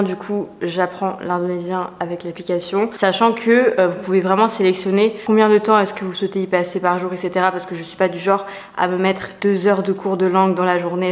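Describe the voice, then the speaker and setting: A young woman chatting casually to camera in a lifestyle vlog, playful and slangy, with quick asides. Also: medium pitch. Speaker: lifestyle vlogger.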